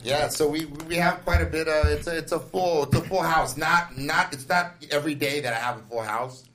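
A man's voice: on the high side, fairly quick, fairly bright tone. A man hosting a casual studio talk show, rambling with many fillers and restarts.

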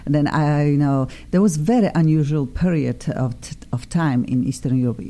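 A middle-aged woman with a Polish accent hosts an interview. Her voice is mellow and warm, and her phrasing is earnest and slightly non-native. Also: low-pitched, normal speed. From Poland. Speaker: radio host.

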